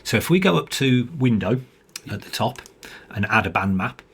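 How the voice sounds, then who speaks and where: A man giving an informal software walkthrough as its developer, explaining step by step with pauses mid-sentence. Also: medium pitch, lively intonation, husky.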